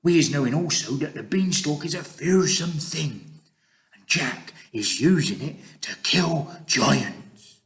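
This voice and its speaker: A gentle, cautious giant from a storybook, played by a male reader explains things earnestly. The voice sits on the high side and keeps a hushed, lively tone, with quaint, muddled phrasing. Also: takes it slowly. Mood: earnest explaining.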